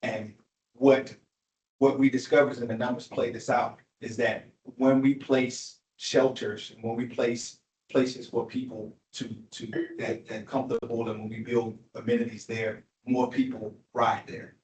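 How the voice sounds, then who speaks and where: A man's voice: medium pitch, little pitch movement, a little slow, a little mellow. A man presenting at a public meeting, rambling and restarting his sentences as he explains. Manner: rambling, self-correcting. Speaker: transit agency presenter. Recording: echoey meeting room.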